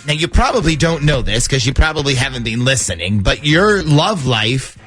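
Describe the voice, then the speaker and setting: A man's voice: mid-range voice, big pitch swings, moderate pace, a bit bright, soft background music. A man hosting a morning radio show, chatty and jokey as he banters and sets up prank calls.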